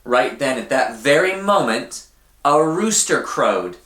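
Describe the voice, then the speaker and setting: A man reading a children's story aloud in an expressive, deliberate way, often putting questions to his young listeners. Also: mid-range voice, reverberant, heavy background noise. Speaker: church storybook reader for children.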